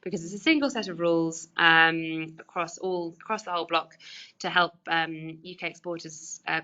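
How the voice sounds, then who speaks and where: A woman's voice: sits low, vocal fry, sharp tone. A woman presenting on a webinar in an informal, explanatory way, with a hesitant, conversational manner. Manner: hesitant, conversational, hedging with kind of. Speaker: trade official presenting. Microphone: online webinar call. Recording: dull, lo-fi webinar audio.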